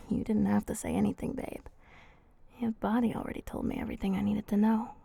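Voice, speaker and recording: A flirty female vampire, played by a woman in an intimate ASMR roleplay. Her voice is soft and mellow, often slipping into a whisper, with a husky creak at the edges. She teases haltingly, as if speaking to one person very close by. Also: medium pitch, level tone, no echo. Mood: teasing.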